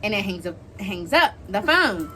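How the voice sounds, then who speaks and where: A young American woman hosting a casual storytime vlog, talking in an animated, slangy, giggly way. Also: mid-range voice, normal speed, a little hiss or hum, poor audio. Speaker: vlog host.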